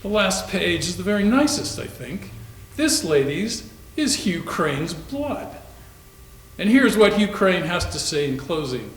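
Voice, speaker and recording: Man doing melodramatic, fairly high-voiced pious reading. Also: very animated, unhurried. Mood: wry, amused. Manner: declamatory, melodramatic. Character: stern, pious father's sermon, read mockingly by young man. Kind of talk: theatrical readers theater reading. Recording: echoey room.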